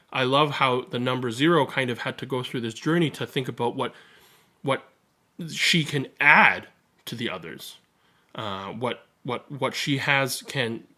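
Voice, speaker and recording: A host reading a picture book aloud with animated narration and chatting casually about it. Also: mid-range voice.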